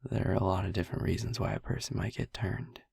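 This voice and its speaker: A man playing a brooding, tender vampire boyfriend in an intimate roleplay audio drama, speaking softly and haltingly in a breathy voice that often nears a whisper. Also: sits low, steady tone, no echo, clean studio sound, heavily acted. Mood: somber, reflective. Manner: halting, soft, hesitant, with long pauses. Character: brooding, tender male vampire boyfriend, haunted by his turning.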